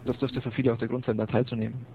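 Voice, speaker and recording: A man's voice: medium pitch, little pitch movement, very fast, breathy edge. A man from Bavaria, a guest explaining his web project over an internet call, with many filler sounds. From Bavaria, near Augsburg. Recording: thin phone-like line with faint noise.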